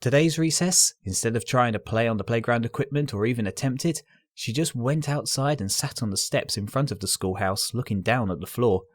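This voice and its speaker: A man reading a scripted story aloud as narrator, in an expressive, earnest and dramatic storytelling style. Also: mid-range voice, fairly quick, no echo.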